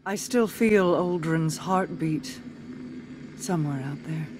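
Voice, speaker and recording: A woman playing a regal, brooding queen, speaking in a solemn, formal and measured way in a dramatic video game monologue. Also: sits low, darkish tone, a little hiss or hum. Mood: wistful, solemn. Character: regal, brooding, mysterious queen and grieving sister. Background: music.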